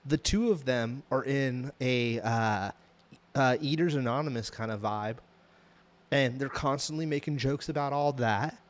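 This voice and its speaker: A man's voice: mid-range voice, unhurried. A man with an American accent chatting casually as a podcast co-host, rambling through a TV show review with slangy emphasis.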